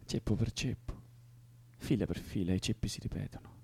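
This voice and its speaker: Give the radio drama an anguished, erratic young man. His voice is creaky and dark, recorded very dry. He pleads and teases, repeats himself and slips toward whispers. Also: fairly low pitch, moderate pace, no echo, full character voice. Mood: tender, reciting. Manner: volatile, repetitive, drifting into whispers. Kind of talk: naturalistic radio drama acting.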